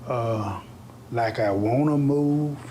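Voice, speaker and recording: A man's voice: medium pitch, takes it slowly, mellow, a little hiss or hum. An older man, a reverend speaking informally in a news interview.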